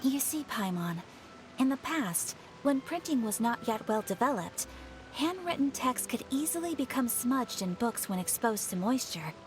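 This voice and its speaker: A woman playing a courteous, learned young noblewoman in a video game, speaking in a formal, measured way. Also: fairly high pitch, animated, slight background noise, natural voice, low-quality recording. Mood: composed, explanatory. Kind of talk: scripted video game dialogue.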